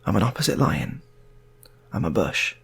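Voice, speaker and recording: A man voicing a distraught, stubborn, self-loathing man in an improvised story, in a high, strained, tearful whine, stammering and repeating himself. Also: very animated, normal speed, vocal fry, fairly bright tone, slightly rough, heavily acted. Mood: self-pitying.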